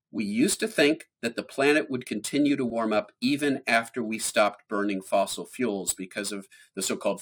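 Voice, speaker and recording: Husky man explaining science calmly. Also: fairly low pitch, poor audio. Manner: measured, explanatory, emphatic on key points. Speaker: climate scientist guest. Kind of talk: explanatory interview answers.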